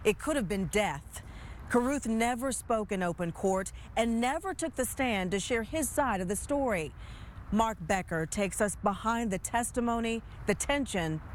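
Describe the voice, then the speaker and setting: A woman's voice: a little high, lively intonation, moderate pace, a little hiss or hum. An American woman anchoring a TV news special, reading scripted narration.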